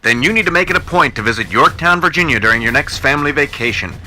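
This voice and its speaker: A man acts out an excitable male announcer from old-time radio, selling with patriotic gusto. The voice is pitched up, loud and forceful, and it rattles along at a quick, punchy clip. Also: soft background music. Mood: excited, hard-selling. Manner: brisk, punchy, hard-selling.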